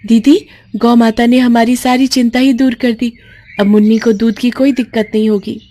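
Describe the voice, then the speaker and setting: A woman's voice: on the high side, little pitch movement, dark tone, voice pushed up, natural voice. A woman voicing a poor, earnest young orphan sister in a storybook, with a plaintive, emotional delivery. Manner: plaintive, emotional, drawn-out lament.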